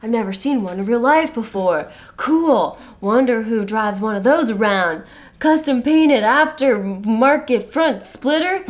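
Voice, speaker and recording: A woman's voice: fairly high pitch, animated. Woman as a wide-eyed car-nerd teen boy. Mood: awed, excited. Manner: awed, drawn-out slangy exclaiming. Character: wide-eyed teenage boy gushing over cars.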